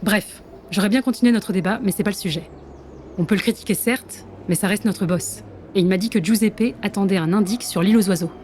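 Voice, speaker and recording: An actress playing a blunt but caring intelligence agent in an audio drama, frank and slangy. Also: female voice, mid-range voice, a little fast, a little mellow, a little hiss or hum, close to an everyday voice. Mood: frank concern.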